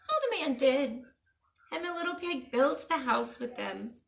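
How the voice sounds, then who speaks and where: A woman storyteller reading a children's picture book aloud in an expressive, dramatic way, addressing young listeners. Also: sits high, measured pace, vocal fry, slight background noise. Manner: dramatic, asks the listeners questions.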